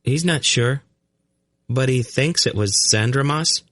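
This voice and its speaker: An uncanny young storybook boy voiced by a man. He speaks higher than the narrator's natural voice, calm and matter-of-fact, as if he simply knows things. The sound is close and dry. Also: unhurried, no room sound. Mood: calm, knowing. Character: calm, uncanny young blond boy who reads minds.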